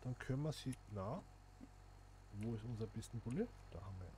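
A man's voice: fairly low pitch, expressive, a little fast, mellow, slight background noise. A man, chatting casually to viewers and thinking aloud as he plays a simulation game. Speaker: gaming channel host. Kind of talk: casual gameplay commentary.

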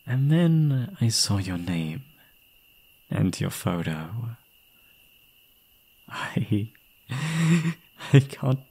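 A young man playing an obsessive, gleeful yandere kidnapper in an intimate ASMR roleplay, doting on the listener in a breathy voice. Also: on the low side, darkish tone, exaggerated voice. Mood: infatuated. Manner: doting pet names, unhinged chuckling, swinging into whispers.

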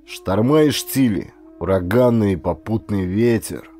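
A man narrating an adventure audiobook as a dramatic, expressive, theatrical storyteller. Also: lowish voice, measured pace, soft background music.

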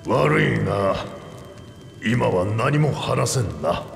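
A man's voice: fairly low pitch, expressive, slow, creaky, full character voice. An actor voicing a boisterous old reptilian king in a video game, commanding and playful, with grandiose archaic speech. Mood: grave, measured. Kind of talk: theatrical video game voice acting. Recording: echoey hall reverb, music behind.

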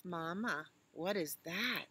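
A woman's voice: fairly low pitch, slow pace, pushed and bright, a little hiss or hum. A woman voicing a curious, eager little elephant child who asks questions in a children's storybook.

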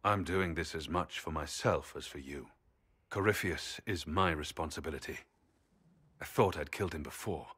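A man's voice: very deep voice, normal speed, a bit bright, slightly rough, exaggerated voice. A man playing a grim, dutiful adventurer in a video game, grave and resolute in manner. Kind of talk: dramatic video game acting.

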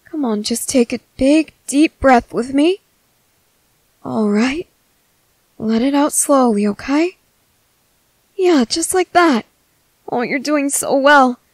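A woman playing a gentle, worried young merboy in an ASMR roleplay, speaking softly and hesitantly to comfort someone. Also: sits high, lively intonation, takes it slowly, pauses often, dry recording. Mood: calming, reassuring. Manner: soft, hesitant, soothing.

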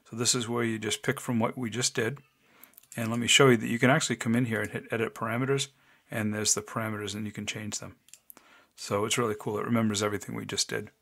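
Deep-voiced man walking through software steps. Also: creaky. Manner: relaxed, talks through each click step by step. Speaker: software tutorial host. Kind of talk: casual screencast tutorial. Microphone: desk microphone, voice-over of screen recording.

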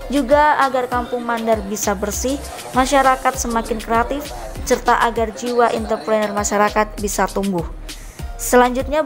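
A woman reporter, reading a scripted news voice-over in an even, measured way. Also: a little high. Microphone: studio voice-over mic. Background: background music.

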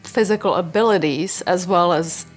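A woman from the Czech Republic with a slightly low voice talks earnestly about her family in a home interview. She is on a lapel mic on a sofa, with faint music behind her. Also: normal speed. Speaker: parent interviewee. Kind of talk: interview testimonial. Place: living room, seated on a sofa.

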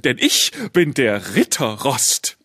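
Children's radio play: pompous, bossy tin knight, greedy, very animated delivery, rough husky edge. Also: male voice, mid-range voice, slow pace, no room sound, studio quality, heavily acted. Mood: boastful. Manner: blustering, pompous, demanding. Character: vain, bossy, greedy male robot knight.

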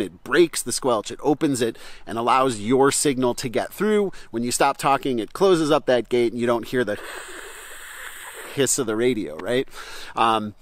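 A middle-aged American man hosts an instructional vlog. He talks casually and explains as a teacher would, often checking that listeners follow. The sound is dry and close, at an ordinary male pitch. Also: no room sound. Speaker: flight instructor and channel host.

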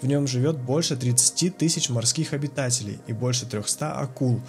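A man's voice: medium pitch, a little fast. Man reading a scripted voice-over. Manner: even, informative delivery. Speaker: voice-over narrator. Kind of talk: scripted informational narration. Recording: music under the voice.

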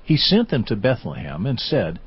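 A man narrating a dramatized Bible in a measured, formal scripted style. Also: mid-range voice, huge pitch swings, breathy edge. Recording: slightly dull audio over music.

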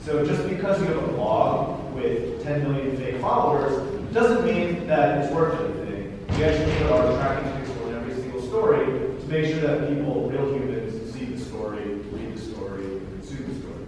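A man's voice: medium pitch, normal speed, few pauses. Man on a panel in an echoey hall. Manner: wry, hesitant with many fillers. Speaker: travel business panelist. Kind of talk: panel discussion answer. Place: large hall with high windows. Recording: echoey hall, distant, background noise.

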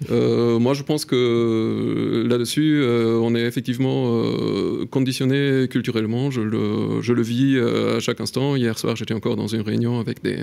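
A middle-aged man with a gravelly, creaky voice speaks into a studio microphone on a radio panel. He talks in a thoughtful and wry way, pausing on fillers as he builds his point. His pitch is ordinary for a man. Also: fairly even intonation, a little mellow. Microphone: studio mic on stand.

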